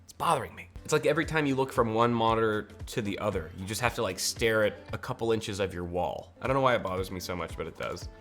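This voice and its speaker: A man's voice: fairly low pitch, quick pace, few pauses, fairly bright tone. An American man in his thirties giving casual, joking, conversational commentary as a tech video host. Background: background music.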